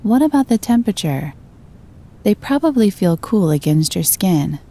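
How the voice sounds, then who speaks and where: A woman gently guiding a meditation, giving slow, soothing instructions. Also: mid-range voice, very animated. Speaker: meditation guide. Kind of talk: guided meditation.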